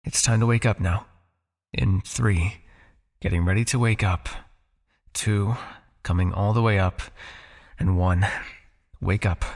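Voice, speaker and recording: A man playing a possessive, teasing vampire captor in an intimate ASMR roleplay, coaxing the listener with a creaky voice. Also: low-pitched, steady tone, darkish tone. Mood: slow, hypnotic. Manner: coaxing, teasing, with pauses, sighs and murmured asides.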